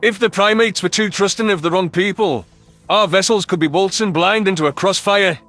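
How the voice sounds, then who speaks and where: High-pitched male sci-fi audiobook narrator. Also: normal speed, fairly bright tone, soft background music. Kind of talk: scripted first-person science fiction narration.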